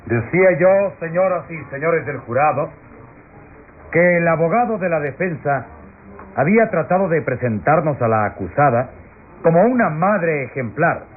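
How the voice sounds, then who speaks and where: A tinny, slightly noisy old radio drama recording carries a fairly high-voiced male prosecutor, played by an actor. He declaims solemnly and pompously, accusing before a jury with theatrical weight. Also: animated, normal speed, natural voice. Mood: grave, accusing. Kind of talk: melodramatic classic radio drama oratory.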